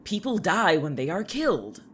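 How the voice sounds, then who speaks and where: Audio drama lead, played by a woman: low, animated, exasperated young patient with a blunt, snarky, defensive edge. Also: moderate pace, a little hiss or hum. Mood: indignant, sarcastic.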